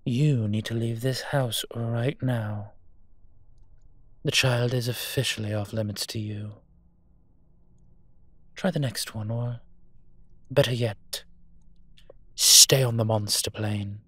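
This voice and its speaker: A menacing monster detective from an audio roleplay, acted by a man, speaks very slowly in a bright, forceful voice. He is cocky and protective, drawing out his taunts and threats and letting words fall away into breath. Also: medium pitch, steady tone. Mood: calm, warning. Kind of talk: roleplay audio drama acting.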